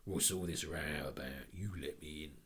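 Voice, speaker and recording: A man reading aloud, voicing a gruff, suspicious policeman in a low, husky voice. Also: normal speed, a bit bright, slight background noise. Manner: gruff, demanding.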